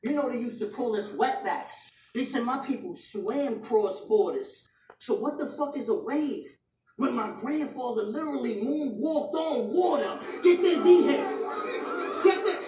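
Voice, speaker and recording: A woman performing aggressive battle rap, shouting her bars and repeating punchlines at a live event. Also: on the high side, animated, a little slow, a little hiss or hum. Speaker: battle rapper. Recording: echoey live venue, mic from far off.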